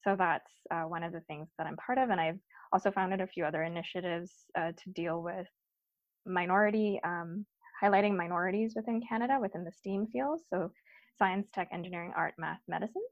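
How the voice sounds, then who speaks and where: A woman's voice: on the low side, brisk, no echo. A young woman from Guyana, a guest on a podcast, talking earnestly with many fillers. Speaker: guest, recent PhD graduate. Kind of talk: casual podcast interview. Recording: dull, dry lo-fi sound.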